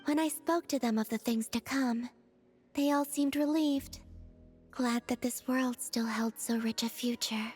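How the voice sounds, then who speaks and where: Lady as soft airy moon goddess in a game, highish voice, gentle n kinda naive, talks formal and measured. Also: steady tone, lightly acted. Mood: wistful, calm. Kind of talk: video game character acting. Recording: faint music behind the voice. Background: game music.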